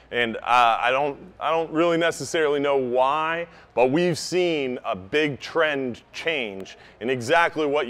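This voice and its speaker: A man in his thirties with a slightly high voice gives an informal seminar. He speaks up to a group in an emphatic, practical way, like a captain teaching his trade, picked up by a camera a few meters off inside a store. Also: a bit bright. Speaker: charter fishing captain giving a seminar. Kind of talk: informal instructional talk to a live audience. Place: inside a large outdoor goods store. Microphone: camera a few meters away.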